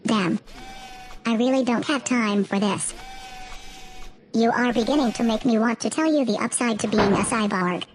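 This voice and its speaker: A woman's voice: on the high side, moderate pace, pauses often, noisy background, natural voice. A woman playing a sardonic, easily exasperated cyborg lawyer in a sci-fi audio drama, politely menacing. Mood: coolly threatening.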